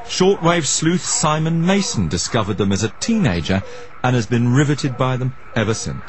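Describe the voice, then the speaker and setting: A man's voice: medium pitch, very animated, normal speed. A man presenting a radio documentary, narrating and asking questions in a dramatic storytelling manner.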